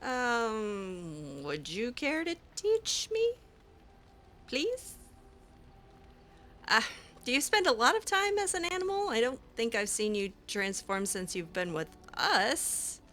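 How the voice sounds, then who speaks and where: A woman's voice: a little high, big pitch swings, slow, a bit bright, full character voice. A woman roleplaying a curious young female mage, talking in a hesitant, chatty way full of ums and restarts. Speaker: roleplaying player character. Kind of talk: casual in-character roleplay.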